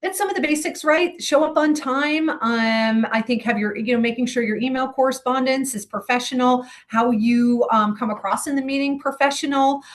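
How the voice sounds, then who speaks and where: Woman, somewhat high voice, interview guest, conversational, earnest, explanatory, thinking aloud. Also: moderate pace, hardly any pauses. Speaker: interview guest giving career advice.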